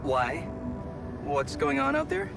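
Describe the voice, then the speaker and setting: A man's voice: fairly high pitch, huge pitch swings, slow pace, gravelly, heavily acted. A man acting in a video game cutscene as an anxious, earnest scientist colleague, with hesitant, stammering conversational delivery. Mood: urgent, relieved. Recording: music playing behind the voice.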